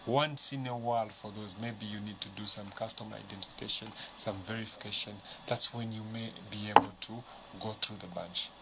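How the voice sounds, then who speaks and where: Man, Zambian accent, lowish voice, measured explainer, noisy recording. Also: steady tone, gravelly. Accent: Zambian English. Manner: measured, explanatory, gives examples. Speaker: panel guest. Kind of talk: studio panel discussion.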